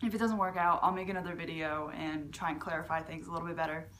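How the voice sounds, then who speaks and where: A young woman with a fairly low voice for a woman, hosting a casual beatbox tutorial from her living room and talking to a webcam, with lots of fillers and restarts. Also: steady tone, quick pace, a bit bright, slight background noise. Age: young adult. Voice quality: lower voice for a woman. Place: large living room at home.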